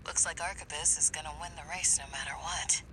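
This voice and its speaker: An actor playing a wry, cynical mercenary associate in a video game. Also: male voice, fairly high pitch, fairly even intonation, fairly quick, bright, forceful tone, a little hiss or hum, rough recording.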